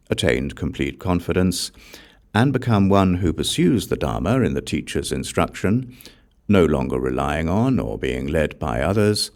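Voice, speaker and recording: A man reads Buddhist scripture as an audiobook narrator. His voice is very deep, with a gravelly fry, and his measured, solemn recitation swings noticeably up and down. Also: a little mellow.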